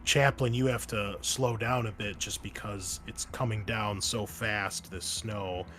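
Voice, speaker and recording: Low-voiced man running a tabletop game. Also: steady tone, measured pace, fairly bright tone, a little hiss or hum. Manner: loose, rambling with many fillers. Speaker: game master.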